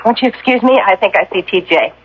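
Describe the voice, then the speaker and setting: An actress plays a folksy woman of the resort in a radio drama. She is chatty and reassuring, with an insistent, breezy hospitality. Her medium-pitched voice comes through a muffled old recording. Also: animated, quick pace, a little hiss or hum, lightly acted.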